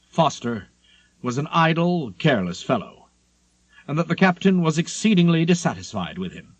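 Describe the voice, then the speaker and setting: Expressive male audiobook narrator, lively pitch. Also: no echo. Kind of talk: scripted literary narration of a memoir.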